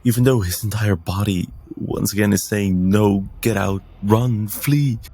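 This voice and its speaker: A man's voice: fairly low pitch, a little slow, warm tone, slightly rough. A man playing a tabletop game, narrating his character's actions in an intense, theatrical way. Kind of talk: dramatic third-person game narration.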